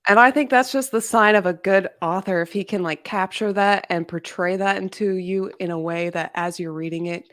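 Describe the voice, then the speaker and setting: Woman guest on a livestream, casual and informal, says like a lot, average pitch. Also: fairly even intonation, normal speed, hardly any pauses, fairly bright tone. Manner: informal, self-deprecating, peppered with "like". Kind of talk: casual chat.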